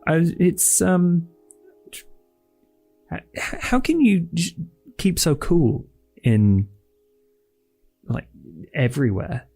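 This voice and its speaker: A man's voice: fairly high pitch, huge pitch swings, gravelly, a little mellow, slightly rough, pitched above the performer's own voice, full character voice. A man in a tabletop game voicing an awkward young male vampire who stammers and trails off nervously. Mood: flustered. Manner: halting, stammering, flustered. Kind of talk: tabletop roleplay in character. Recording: music bed under the voice.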